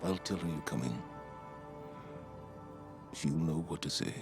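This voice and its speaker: An actor playing a weary, guilt-ridden veteran military commander in a video game, brooding and deliberate in delivery. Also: male voice, over music. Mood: hollow, sorrowful. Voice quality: deep, gravelly. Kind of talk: dramatic video game acting.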